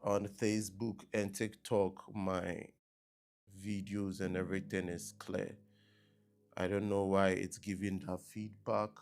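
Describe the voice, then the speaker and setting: Slow, low-voiced preacher man. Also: little pitch movement, creaky, darkish tone, soft background music. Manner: repetitive, checking with online viewers. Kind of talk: live-streamed sermon opening. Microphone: laptop mic on a live stream.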